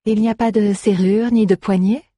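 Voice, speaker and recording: A reader voicing a curious, quick-spirited female bard in a fantasy audiobook, speaking eagerly and with assurance. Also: medium pitch, steady tone, dark tone, no room sound, clean studio sound, voice pushed up, lightly acted.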